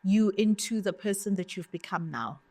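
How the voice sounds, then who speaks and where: Brief: female host, mid pitch, encouraging, dry close sound. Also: animated, normal speed, warm tone, no echo. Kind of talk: podcast interview.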